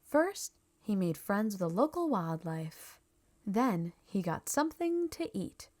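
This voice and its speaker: A woman reads a scripted children's story aloud. Her pace is slow and measured, with an expressive delivery meant for young listeners. Her pitch sits in the middle for a woman. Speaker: storybook reader.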